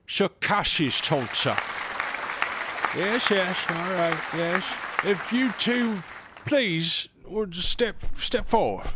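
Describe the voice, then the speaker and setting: An actor playing a formal royal advisor who hesitates, stammers and clears his throat. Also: sits high, fairly bright tone, no room sound, exaggerated voice. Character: fussy older male royal advisor, formal. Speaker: royal counselor (maester). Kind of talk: audio drama acting.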